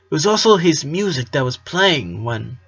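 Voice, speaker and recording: A man's voice: a little high, sing-song, moderate pace, a bit bright, husky, muffled recording, voice pushed up, heavily acted. A man voicing an easygoing, chatty middle-aged father from a storybook, fond of teasing and explaining. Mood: easygoing, reminiscing.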